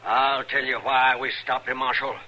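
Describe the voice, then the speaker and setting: An actor playing a mad, righteous old frontier patriarch with an American accent, preachy and menacing, in a radio western. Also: male voice, medium pitch, level tone, moderate pace, pushed and bright, dull audio. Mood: defiant, preachy. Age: older. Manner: preachy, menacing, archaic biblical phrasing. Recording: noisy vintage radio recording.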